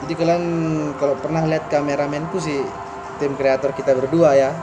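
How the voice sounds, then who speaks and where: A man's voice: a little high, flat delivery, fairly quick, heavy background noise. A man from Bali with a Balinese accent, co-hosting a casual chat and animatedly addressing the viewers as guys. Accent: Balinese Indonesian. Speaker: co-host.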